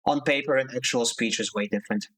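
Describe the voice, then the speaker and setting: A man's voice: medium pitch, fast, fairly bright tone. A young man from Slovakia, ranting casually as a streamer, swearing and restarting his sentences. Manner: heated ranting with profanity and restarts. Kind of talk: casual live-stream commentary.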